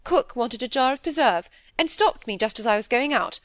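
A woman's voice: a little high, sing-song, a little fast, dry recording, pitched above the performer's own voice. A woman reading an audiobook, voicing a middle-aged wife who is fretful, wheedling and full of plaintive excuses. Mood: tearful, aggrieved. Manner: plaintive, pouting, evasive.

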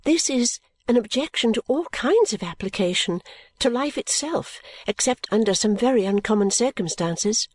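A woman's voice: fairly high pitch, animated, normal speed, breathy edge, dry recording. Woman voicing a sensible, earnest young woman. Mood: reasoning. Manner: earnest, gently reasoning. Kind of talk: audiobook character dialogue.

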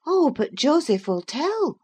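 Woman playing petulant teen girl, high voice, swooping intonation, peevish exclamations, classic audiobook character. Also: very animated, slow pace, darkish tone, exaggerated voice. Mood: evasive, uneasy. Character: petulant, flighty teenage girl of a classic novel.

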